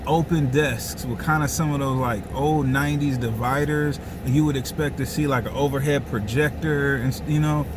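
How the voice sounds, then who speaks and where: A man's voice: mid-range voice, steady tone, normal speed, hardly any pauses, noisy background. A man telling a comic personal story casually, with slangy asides and phrases repeated for dramatic effect. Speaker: storyteller and host.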